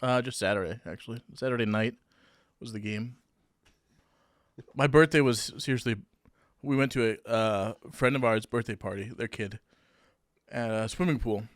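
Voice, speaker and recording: A man's voice: medium pitch, moderate pace, long pauses, no echo, studio quality. A man co-hosting a podcast, telling stories in a chatty way and laughing often. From New Hampshire, USA. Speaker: podcast co-host. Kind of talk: casual chat.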